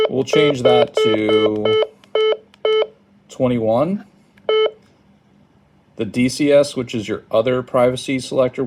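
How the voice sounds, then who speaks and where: A man giving a casual step-by-step how-to, halting and thinking aloud, recorded indoors at a tabletop. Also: lowish voice. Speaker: product tutorial presenter. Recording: clearly noisy home recording, button clicks.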